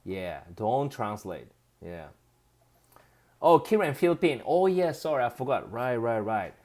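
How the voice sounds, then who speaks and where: A man's voice: mid-range voice, big pitch swings, measured pace, darkish tone. A man with a Japanese accent hosting a casual live stream, reading viewer comments aloud and laughing often as he chats.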